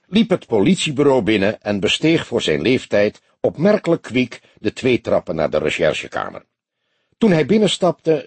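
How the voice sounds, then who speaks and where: Very husky, expressive male audiobook narrator. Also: medium pitch, dry recording. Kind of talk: expressive scripted narration of a detective novel.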